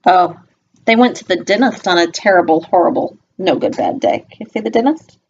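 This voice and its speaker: A woman's voice: on the low side, lively intonation, brisk, highs cut off, slight background noise. A woman reading a children's picture book aloud expressively, with simple instructive asides to young listeners. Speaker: storybook reader.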